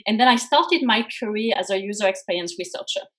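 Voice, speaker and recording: A woman's voice: medium pitch, expressive, fast talker. A woman from France speaking English with a French accent, an earnest career coach telling her story in an interview. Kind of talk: podcast interview.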